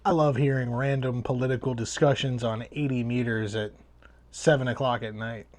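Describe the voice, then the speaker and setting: A man's voice: mid-range voice, fairly even intonation, moderate pace, slight background noise. A man giving a casual hands-on review as host of a video.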